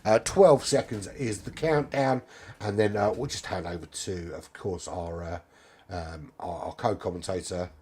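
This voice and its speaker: Hoarse british guy calling a sim race, rambly and sweary, car engines roaring behind. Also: low voice, a little slow, background noise. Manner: chatty, rambling, swears casually. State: hoarse, losing his voice. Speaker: race commentator. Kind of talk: live sim racing commentary.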